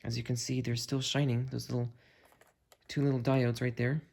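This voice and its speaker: A man narrates a hands-on demonstration in a casual, unhurried way, thinking aloud as he tests things. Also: mid-range voice, little pitch movement, gravelly, darkish tone. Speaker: video creator demonstrating a weather radio.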